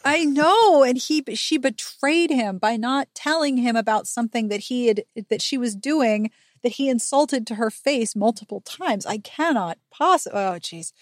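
A woman hosting a podcast, giving a chatty book recap with animated, sarcastic and sweary commentary. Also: fairly high pitch, measured pace, hardly any pauses, no echo, studio quality. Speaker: podcast host.

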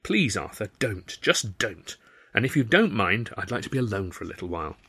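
A man's voice: on the low side, very animated, a little fast, bright, forceful tone, breathy edge, full character voice. A man voicing a young woman performer in an audiobook, chatty and earnest, with a firm, guarded way of talking.

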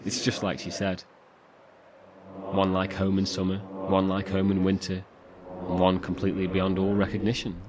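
A very deep-voiced, haunted man played by a male actor in a horror audio drama, brooding and halting as he speaks from among otherworldly shadows. Noisy ambience runs behind him. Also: monotone, lightly acted. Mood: haunted, grave.

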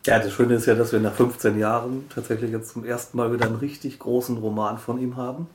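A man's voice: mid-range voice, steady tone, fast talker, noisy background. A man giving an informal, spontaneous interview statement as a publishing executive. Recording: echoey room.

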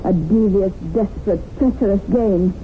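An actress playing a fierce, humorless young revolutionary kidnapper in a radio drama, declaiming in stilted slogans. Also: female voice, medium pitch, normal speed, lightly acted. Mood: accusing, declamatory. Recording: very noisy, tinny old radio broadcast.

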